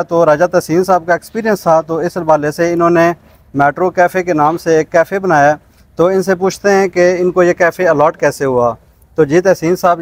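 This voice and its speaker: A man's voice: fairly high pitch, no room sound. A man with a Pakistani accent hosting a property vlog, speaking politely and promotionally with English words mixed in. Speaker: host of a property channel. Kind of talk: vlog presenting and interviewing. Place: outdoors in front of a small cafe storefront. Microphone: lapel mic.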